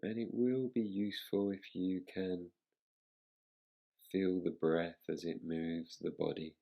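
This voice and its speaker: A man guiding a relaxation meditation as a teacher, speaking in a soothing, unhurried manner with long pauses. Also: low-pitched, little pitch movement, creaky, lo-fi sound.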